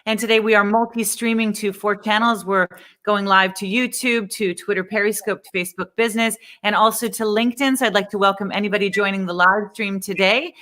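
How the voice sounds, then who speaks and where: A middle-aged woman hosts a livestream interview with an upbeat, chatty manner. Her voice is bright and sharp, and she talks in an admiring, friendly way as a speaking coach. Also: mid-range voice, steady tone, fairly quick, hardly any pauses.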